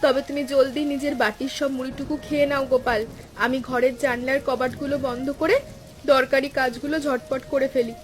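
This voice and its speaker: A woman plays a young village wife in a cartoon tale, caring and a little anxious. Her voice sits very high, and she speaks each line earnestly. Soft music runs underneath. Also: little pitch movement, fairly quick, natural voice. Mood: eager. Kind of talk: Bengali cartoon story dialogue.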